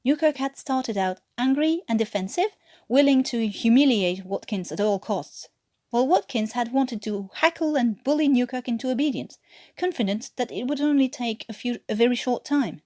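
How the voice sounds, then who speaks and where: A woman from France reads a story aloud in a French-accented voice of medium pitch. Her delivery is that of an expressive amateur narrator, with an occasional stumble over a word. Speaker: amateur audiobook reader.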